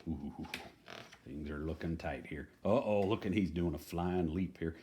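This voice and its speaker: A man's voice: deep voice, measured pace, a little hiss or hum. A man reading a children's picture book aloud in a folksy, playfully dramatic manner, adding chatty asides. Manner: folksy, playful dramatic delivery, dropping to hushed tones.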